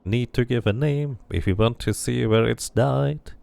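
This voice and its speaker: A man's voice: mid-range voice, unhurried, vocal fry, a little mellow, voice pushed up. A man voicing an eerie, crooked-smiled keeper of dead names in a fantasy game, with a cryptic, repetitive sing-song delivery.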